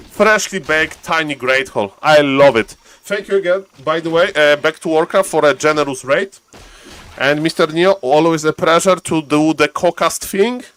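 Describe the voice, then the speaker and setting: A man from Poland with a Polish accent, casting a live strategy game in chatty, excitable English and answering his chat. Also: a little high. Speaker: game caster.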